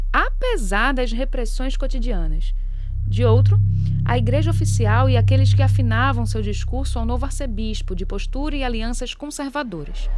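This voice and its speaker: A Brazilian woman reading a scripted documentary narration as the narrator. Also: a little high. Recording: music behind the voice. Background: background music.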